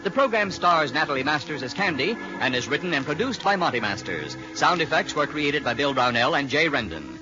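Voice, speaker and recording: A man's voice: fairly high pitch, sing-song, brisk, few pauses. A man announcing in scripted 1940s radio style, reading closing credits, with organ music behind him. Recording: dull vintage radio recording with music.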